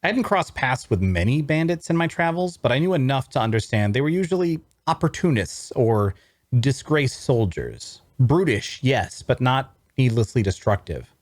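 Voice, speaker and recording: A man playing a brooding adventurer, narrating his grim journey in a measured, deliberate way at a scripted table read. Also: medium pitch, darkish tone, dry recording.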